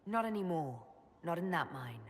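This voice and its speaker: An actress playing a composed, authoritative heroine in a video game, direct and formal. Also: female voice, lowish voice, sing-song, normal speed. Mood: composed, resolute. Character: composed, authoritative female leader, a mage heroine. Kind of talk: dramatic video game cutscene acting. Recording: hall reverb with background noise.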